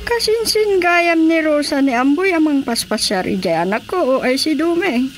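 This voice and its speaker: A woman's voice: high voice, expressive, moderate pace, music in the background. An actress playing a fretful, gossipy older village mother in a Filipino radio drama comedy, with an Ilocano accent. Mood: concerned, gossipy.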